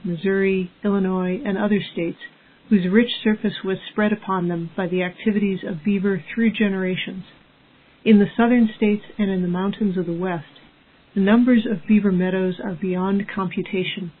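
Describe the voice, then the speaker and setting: A middle-aged woman reading a nature book aloud. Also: mid-range voice. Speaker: geology professor, reader. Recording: phone-like sound with clear background noise.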